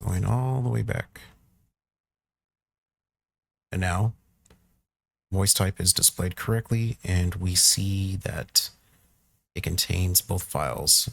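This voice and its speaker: A man with a low, creaky voice talks through a software tutorial at a slow pace. He is informal and thinks aloud as he works, recorded close on the mic. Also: no echo. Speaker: tutorial presenter. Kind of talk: casual screen-recorded software walkthrough.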